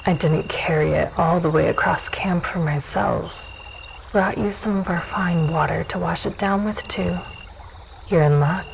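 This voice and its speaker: A self-assured female dusk elf, acted by a woman with a lowish voice. She is composed and matter-of-fact, a probing host in a dark fantasy audio drama. Light ambient noise runs behind her. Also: unhurried, creaky. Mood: composed, hospitable.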